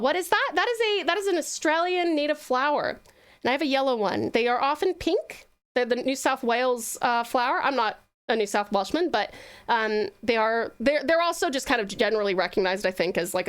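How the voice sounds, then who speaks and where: A woman with a high voice hosts a casual livestream. She talks to her viewers in a chatty, gushing way, sweet and full of endearments. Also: expressive, a little fast, smooth. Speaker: livestreaming virtual streamer host.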